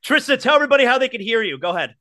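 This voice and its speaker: A man's voice: extremely high pitch, lively intonation, racing, bright, forceful tone. A man hosting a sports podcast, talking in a blunt and emphatic way. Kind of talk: casual sports chat.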